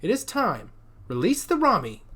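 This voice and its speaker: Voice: medium pitch, wildly swooping pitch, moderate pace, a bit bright, pitched above the performer's own voice. A narrator voicing a revered, commanding old woman who leads a secret order, speaking steadily and with solemn deliberation. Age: older.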